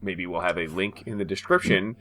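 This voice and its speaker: A man's voice: sits low, fairly quick. A man co-hosting a comedy podcast, narrating an old comic with frequent laughter. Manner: laughs often, animated narrator. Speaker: podcast co-host. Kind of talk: comedic dramatic reading and banter.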